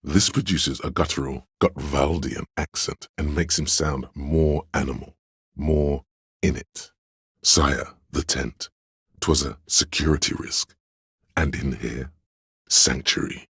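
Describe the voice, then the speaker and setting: A man voicing a sly, flattering inner voice in a video game, with theatrical, courtly, old-fashioned diction and a gravelly, husky bass. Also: slow pace, no echo, clean studio sound, heavily acted. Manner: grandiose, archaic courtly diction, conspiratorial. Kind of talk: scripted video game voice acting, mock-Shakespearean.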